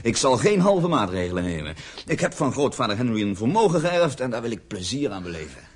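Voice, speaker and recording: An actor playing a cheerful, carefree young navy veteran and heir in a Dutch radio play, speaking with breezy confidence. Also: male voice, medium pitch, very animated, brisk, slightly rough. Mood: enthusiastic, confident.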